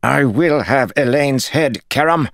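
Man acting out a raging, half-crazed lord, voice raised high. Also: animated, unhurried, gravelly, no echo, studio quality. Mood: crazed, vengeful. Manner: snapping, sputtering, imperious. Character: crazed, furious noble lord and war commander.

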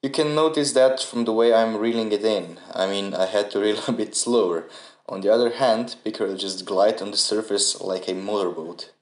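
Low-voiced man, rambling voice-over, echoey. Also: normal speed. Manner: relaxed, rambling, many fillers. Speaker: fishing channel host. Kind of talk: casual voice-over commentary.

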